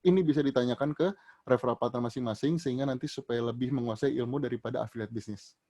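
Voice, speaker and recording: A male trainer answers questions from an audience during an online session. He talks in a loose, explaining way, full of fillers and restarts, and his voice jumps higher and louder whenever he starts a new answer. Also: quick pace.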